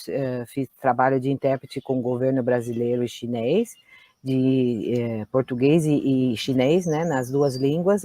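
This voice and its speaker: A woman in her fifties who grew up in China and speaks Chinese as her first language, talking calmly and a little hesitantly as a panel guest. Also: very deep voice, no echo. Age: 50s. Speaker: guest, interpreter. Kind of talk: casual panel conversation.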